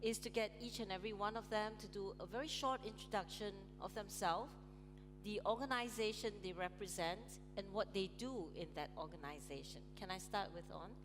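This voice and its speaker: A woman's voice: mid-range voice, a little fast. A woman moderating a conference panel on stage, chatty, with frequent ums and light laughs. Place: large conference hall on stage. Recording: reverberant hall, music playing.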